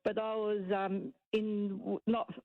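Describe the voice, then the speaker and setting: A woman with a broad Australian accent phoning talk radio, speaking colloquially and haltingly as she shares an anecdote. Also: medium pitch, little pitch movement, no echo. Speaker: talkback caller. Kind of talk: casual anecdote. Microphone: phone. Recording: muffled phone-line call.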